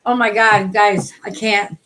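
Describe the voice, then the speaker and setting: A woman from the New York City area with a hoarse voice, chatting casually and swearing freely as she reads tarot cards live for viewers. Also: mid-range voice, fairly even intonation, a little slow, a bit bright, low-quality recording. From New York City area, USA. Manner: chatty, profane, greets viewers by name. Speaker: tarot card reader hosting a livestream. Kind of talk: casual live-stream chat.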